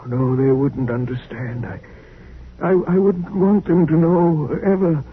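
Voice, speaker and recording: An actor playing a frail, lonely old scientist who is dying, speaking haltingly and remorsefully in a vintage radio drama. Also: male voice, on the high side, breathy edge. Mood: dying, weak. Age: older. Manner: halting, faltering, repeating words. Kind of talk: 1950s radio drama acting. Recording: tinny, hissy old radio broadcast.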